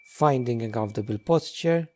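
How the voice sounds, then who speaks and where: Male meditation teacher, dark relaxed tone, medium pitch, gentle instruction, frequent pauses. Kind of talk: guided meditation.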